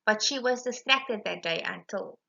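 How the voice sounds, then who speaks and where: A woman reading a rhyming children's storybook aloud in an animated, emphatic way. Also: mid-range voice, moderate pace, vocal fry, muffled recording. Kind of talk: expressive rhyming storybook narration.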